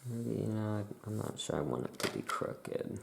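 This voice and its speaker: A soft-spoken ASMR host musing in a hushed, self-deprecating way while chatting through a craft task. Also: mid-range voice, big pitch swings, normal speed, vocal fry, slight background noise.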